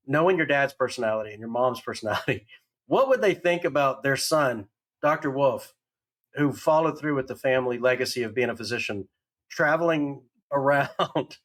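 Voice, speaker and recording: A man's voice: mid-range voice, fairly bright tone. A man hosting a podcast interview, asking questions in a casual, enthusiastic way. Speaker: podcast host.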